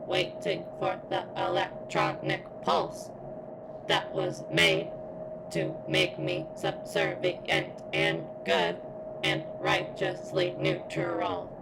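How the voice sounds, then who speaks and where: A woman playing a sentient female robot in constant pain for an ASMR roleplay, speaking in a strained, halting, formal and deliberate way. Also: very deep voice, huge pitch swings, noisy background, heavily acted. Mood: pained, pleading. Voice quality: strained, creaky. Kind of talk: ASMR roleplay, scripted monologue.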